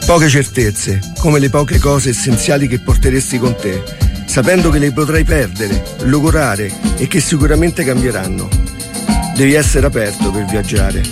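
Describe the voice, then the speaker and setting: A man reading scripted literary narration for radio in a reflective manner with measured phrasing. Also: medium pitch, expressive. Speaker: reader. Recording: loud music under voice. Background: loud music.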